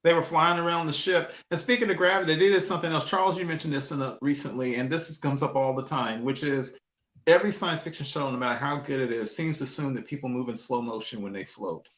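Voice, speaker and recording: A man's voice: medium pitch, fast talker, a bit bright. A man on a fan discussion panel, chatting casually and enthusiastically as he feels his way through remembered details. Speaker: podcast panelist. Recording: roomy, echoing room sound.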